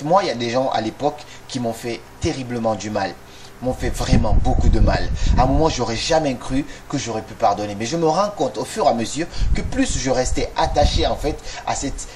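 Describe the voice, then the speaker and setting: A man's voice: medium pitch, darkish tone. A man giving a motivational talk straight to the listener, speaking directly and firing off rhetorical questions. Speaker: motivational video host. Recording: noisy recording with clicks.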